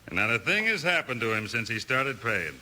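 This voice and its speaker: A man's voice: medium pitch, sing-song, fairly quick, pushed and bright. An actor playing a menacing, smug crook in a radio western, with insinuating tough-guy talk. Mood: smug menace. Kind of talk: 1950s radio western acting. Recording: noisy 1950s radio recording.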